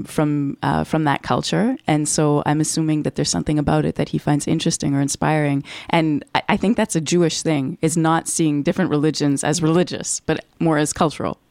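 Low-voiced woman interviewer. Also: creaky, darkish tone, no echo, studio quality. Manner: hesitant questions full of fillers. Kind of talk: conversational interview.

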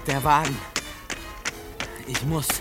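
A man's voice: mid-range voice, fairly even intonation, slow, natural voice. A man acting a desperate, dogged railway keeper in a 1950s German radio drama, in urgent short bursts. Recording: 1953 radio recording with music behind.

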